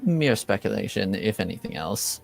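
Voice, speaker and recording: A man playing a stern, discreet Duke with a measured, formal, admonishing manner in a tabletop game. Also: fairly low pitch, sing-song, gravelly, faint music behind, heavily acted. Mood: measured, guarded. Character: stern, discreet Duke scolding his younger relative.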